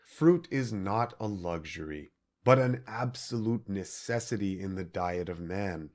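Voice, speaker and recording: A man reading scripted audiobook narration in deliberate, measured phrases with emphatic stresses. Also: low voice, expressive, a little mellow. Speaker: audiobook reader.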